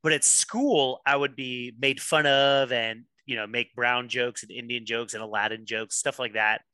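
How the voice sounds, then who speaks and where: A man's voice: medium pitch, fairly even intonation, sharp tone, clean tone, no echo. A man who grew up in Miami, a pastor, talking candidly in a podcast interview, joking at his own expense and laughing easily. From Miami, USA. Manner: candid, self-deprecating, quick to laugh. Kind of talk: casual interview conversation.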